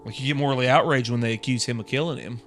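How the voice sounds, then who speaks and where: A man's voice: medium pitch, steady tone, rapid-fire, fairly bright tone, soft background music. A man co-hosting a podcast, chatting casually and explaining his take with conversational asides. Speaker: podcast co-host. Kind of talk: casual chat.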